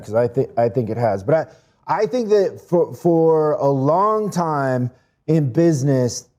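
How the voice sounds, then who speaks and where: Earnest, warm-voiced podcast guest man. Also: a little high. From Mexico. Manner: earnest, repeats words as he restarts. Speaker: guest, business speaker. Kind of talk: casual interview talk.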